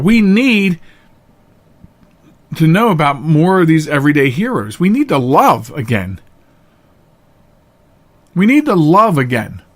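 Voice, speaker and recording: Higher-voiced man hosting a talk show. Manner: earnest, emphatic, repeats phrases for emphasis. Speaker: podcast host. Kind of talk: solo talk show monologue, directly addressing listeners.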